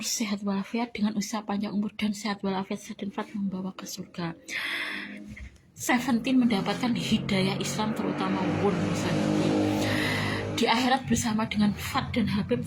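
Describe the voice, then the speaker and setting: A woman's voice: mid-range voice, noisy background. A woman talking casually to her viewers, rambling and repeating herself, sometimes dropping to a whisper as she prays. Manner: rambling, repeats phrases, drops to whispers in prayers. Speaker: vlogger.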